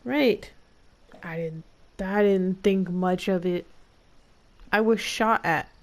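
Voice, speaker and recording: Slow-talking, wry woman streamer. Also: medium pitch. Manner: wry, sarcastic, slangy. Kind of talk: casual gameplay commentary.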